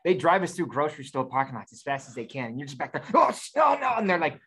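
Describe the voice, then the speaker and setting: A man's voice: fairly high pitch, sing-song, fast talker, a bit bright, slightly rough. A man telling stories casually in a group chat. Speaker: firefighter panelist. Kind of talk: casual storytelling. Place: event booth room with curtain backdrop.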